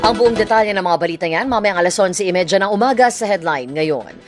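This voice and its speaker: A woman's voice: medium pitch, expressive, quick pace. A woman with a Filipino accent reading scripted news as a radio anchor. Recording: music bed behind voice. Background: music.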